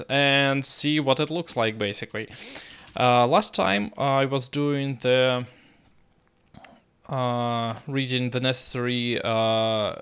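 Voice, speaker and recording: Young guy w russian accent streaming code, slow, lots of uhs, clean smooth voice. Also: mid-range voice, fairly bright tone. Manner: halting, many filler pauses. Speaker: coding streamer. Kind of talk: live coding stream chat.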